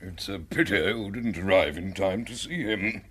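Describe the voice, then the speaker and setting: A man playing a disapproving country-house gentleman in a radio drama, stiff and grumbling. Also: sits low, moderate pace, husky.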